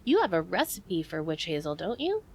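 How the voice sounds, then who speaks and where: A woman's voice: lowish voice, very animated, normal speed, darkish tone, breathy edge. A woman co-hosting an improvised comedy advice show, chatting and explaining in a conversational way. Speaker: comedy podcast host.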